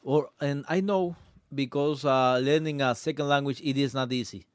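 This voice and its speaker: A man from Cuba with a Cuban Spanish accent, a podcast guest talking earnestly in halting English aboard a sailboat, often pausing to search for words. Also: medium pitch, clean tone, dry recording, studio quality. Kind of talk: casual conversation.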